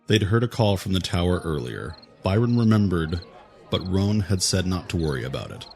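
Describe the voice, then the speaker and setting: A man narrating a fantasy audio drama in a measured, even way. His voice is very low, and a faint music score sits underneath. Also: vocal fry.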